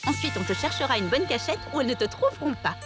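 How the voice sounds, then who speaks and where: A very high, lively cartoon teenage girl, sweet and easily worried. She pleads earnestly in a dubbed animated series, with music clearly behind her. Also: fast talker. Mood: worried, secretive. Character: sweet anxious teenage girl hiding her unicorn friend. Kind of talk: French animated series dubbing.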